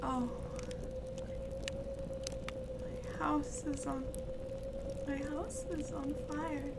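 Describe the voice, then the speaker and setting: Casting: actress as a scared farm girl in peril, horror audio play; high voice, halting, slow delivery. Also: flat delivery, very noisy. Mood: stunned, grieving. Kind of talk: horror audio drama acting. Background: music.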